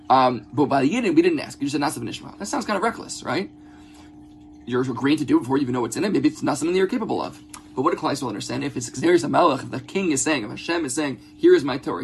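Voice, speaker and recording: Male religious teacher, brisk and conversational, earnest, with gravelly fry and slight background noise. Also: medium pitch. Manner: earnest, conversational, repeats phrases and checks in with "right?". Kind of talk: informal religious lesson with text commentary.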